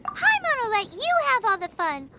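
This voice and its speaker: A woman's voice: very high-pitched, wildly swooping pitch, moderate pace, very noisy, heavily acted. A woman voicing a tiny, chirpy fairy-like girl companion in a game, who bubbles along and talks about herself in the third person. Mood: flustered. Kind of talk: game dialogue voice acting. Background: game music.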